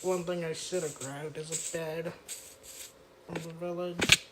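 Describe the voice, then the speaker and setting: An eighteen-year-old woman with a youthful, childish-sounding voice, chatting casually and rambling on a gaming stream, with lots of fillers and throat clearing. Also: sits low, level tone, unhurried, very noisy.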